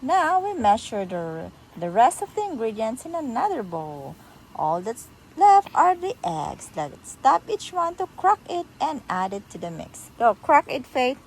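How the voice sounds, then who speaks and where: A woman reading a children's picture book aloud to a toddler in an animated, sing-song, encouraging way. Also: fairly high pitch, a little slow, a little hiss or hum, poor audio.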